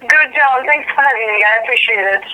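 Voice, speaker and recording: Bright-voiced woman in her thirties, pausing often. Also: fairly high pitch, poor audio. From Poland. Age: 30s. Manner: thoughtful, with frequent "um" pauses. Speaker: professional boxer and guest. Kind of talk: interview answers.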